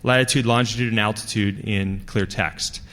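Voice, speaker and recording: A man giving an informal technical talk as a conference speaker, with a halting, rambling, conversational delivery. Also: fairly low pitch, fairly even intonation, fairly bright tone. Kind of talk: informal technical presentation to an audience. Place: large conference hall, speaking to a live audience. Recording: reverberant hall sound.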